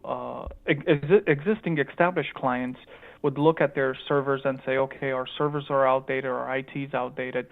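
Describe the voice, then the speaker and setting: A man with a light Romanian accent blended with a Chicago one, speaking as a startup founder. His answers are casual and halting, full of ums and repeated starts. The sound is thin and tinny, like a phone line. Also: medium pitch, vocal fry. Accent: slight Romanian mixed with Chicago. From born in Romania, raised in Chicago.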